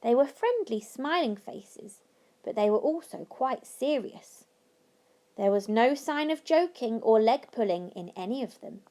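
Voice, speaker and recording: A woman reading a children's story aloud as narrator, with expressive, deliberate phrasing. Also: a little high. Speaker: story reader.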